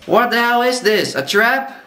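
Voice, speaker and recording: A man's voice: extremely high pitch, very animated, measured pace, bright, forceful tone, heavily acted. Man acting bossy, ruthless convict, echoey and distant. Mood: enraged. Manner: bossy, threatening. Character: ruthless, short-tempered escaped convict, gang leader. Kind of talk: radio play acting. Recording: echoey, distant, noisy room.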